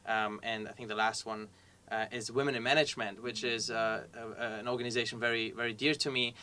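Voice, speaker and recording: The bright-toned young man from Iran on a student panel, working out his answer as he talks to prospective applicants. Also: mid-range voice, level tone, a little fast. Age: young adult. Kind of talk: conversational Q&A answers.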